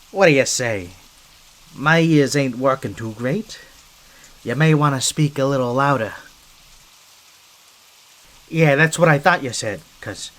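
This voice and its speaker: A wisecracking gangster rat, acted out by a man in an ASMR roleplay, with a medium-pitched male voice. He is a scrappy, wounded henchman, wary and chatty, talking in street slang with hesitant little asides. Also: fairly bright tone. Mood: wry, needling.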